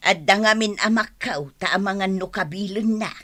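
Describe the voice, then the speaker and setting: A woman's voice: mid-range voice, moderate pace, fairly bright tone, close to an everyday voice. A woman with an Ilocano accent playing an exasperated, scolding village wife in a comedy radio drama, nagging and emphatic. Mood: worried, confiding. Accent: Ilocano, northern Philippines.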